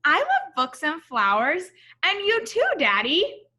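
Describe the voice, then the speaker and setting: A woman voicing an eager, curious little girl in a storybook, earnest and expressive. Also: very high-pitched, slow, slight background noise, voice pushed up, full character voice. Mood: eager, proud. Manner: earnest, expressive, addressing her father.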